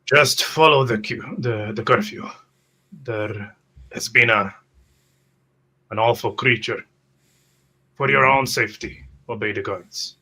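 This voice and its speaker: A man's voice: medium pitch, slow pace, pauses often, pushed and bright, breathy edge. A man playing a tabletop fantasy game, describing his character's actions in hesitant, piecemeal phrases. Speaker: tabletop roleplay player.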